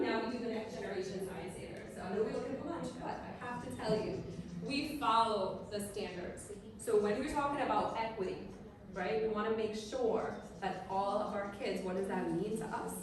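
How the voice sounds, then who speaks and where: A woman's voice: fairly high pitch, moderate pace. A woman, a district STEM director giving a chatty conference presentation and asking the audience questions. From Trinidad and Tobago. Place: conference hall. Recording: echoey hall, mic far, crowd behind.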